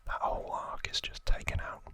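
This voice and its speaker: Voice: fairly quick, pushed and bright, no room sound. An ASMR host whispering an informal, conversational recap, close to the microphone. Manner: casual, informal recap with repeated words for effect. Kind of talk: whispered ASMR storytelling and trivia.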